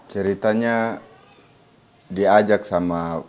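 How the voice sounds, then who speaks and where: A man's voice: on the low side, fairly even intonation, slow, darkish tone. A young man from eastern Indonesia with an Alor accent, giving short, simple answers as a guest in a casual chat. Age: young adult. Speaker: interview guest, comedy content actor.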